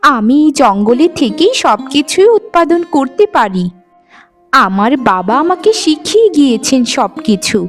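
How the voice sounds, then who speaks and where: Woman voicing a high-pitched gentle young forest maiden. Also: very animated, measured pace, faint music behind. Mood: gentle, wistful. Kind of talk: Bengali fairytale cartoon dialogue.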